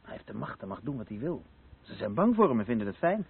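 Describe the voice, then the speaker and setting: A man's voice: mid-range voice, very animated, fast talker, creaky, husky, full character voice. An actor playing a bitter, sardonic male neighbour in a naturalistic radio play, speaking with scornful emphasis. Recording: tinny narrow-band old radio recording, noisy.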